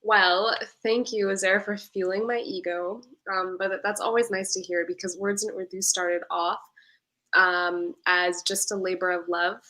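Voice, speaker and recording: A woman's voice: mid-range voice, steady tone, measured pace, sharp tone. A young woman born in Pakistan, speaking as a panelist in a discussion, reflective and thoughtful with frequent fillers. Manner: reflective, many fillers and restarts.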